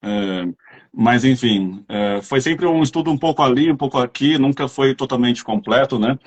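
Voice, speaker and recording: A man's voice: mid-range voice, normal speed. A man with a Brazilian accent, chatting casually as an interviewer and telling rambling anecdotes. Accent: Brazilian Portuguese.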